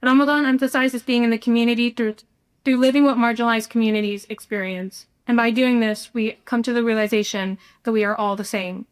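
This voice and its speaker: A young American woman from Texas, a student speaker delivering a prepared speech in an earnest, deliberate way. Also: fairly high pitch, fairly even intonation, clear, resonant tone. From Houston, Texas, United States. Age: young adult.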